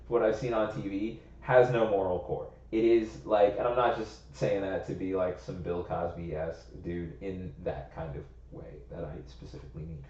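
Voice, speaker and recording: A man's voice: fairly low pitch, dark tone, a little hiss or hum. A young American man co-hosting a podcast, rambling and thinking aloud in casual chat, leaning hard on "like.". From United States. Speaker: podcast co-host. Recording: roomy, slightly muffled recording.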